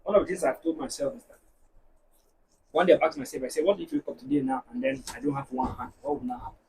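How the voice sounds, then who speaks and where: A man with a Nigerian accent, a married guest on a discussion show, talking in an animated, preachy way and telling stories to make his points. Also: fairly high pitch, quick pace, gravelly, distant mic, a little hiss or hum, rough recording. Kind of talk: casual panel discussion.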